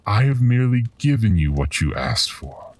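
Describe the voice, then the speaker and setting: A man playing a mysterious, ominous collector of dark tales in a horror radio drama, speaking formally and cryptically. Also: on the low side, sing-song, moderate pace, distant mic. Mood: calm, ominous.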